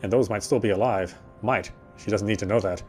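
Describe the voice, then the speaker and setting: A man's voice: fairly low pitch, brisk, darkish tone, soft background music, close to an everyday voice. An actor playing a weary male city detective, narrating in the first person with a wry inner voice.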